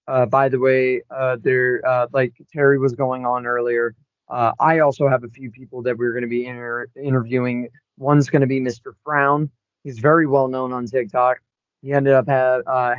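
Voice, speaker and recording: A man of about twenty co-hosting a podcast in casual chat, excitable and given to jumping into high shouts. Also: no room sound. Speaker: podcast co-host. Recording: dull lo-fi sound, dry.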